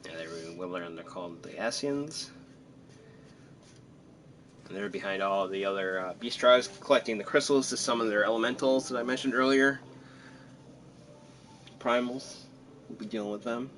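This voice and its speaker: A man chatting casually as he streams a game, joking and rambling in an animated way. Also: fairly low pitch, normal speed, pauses often, a little hiss or hum. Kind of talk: casual gameplay commentary and chat.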